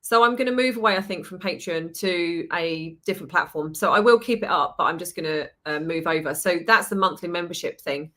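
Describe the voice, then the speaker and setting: Chatty English woman, bright voice. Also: on the low side, a little fast, few pauses. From United Kingdom. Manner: chatty, lively storytelling with laughs. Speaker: guest, permanent makeup trainer. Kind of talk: casual podcast chat.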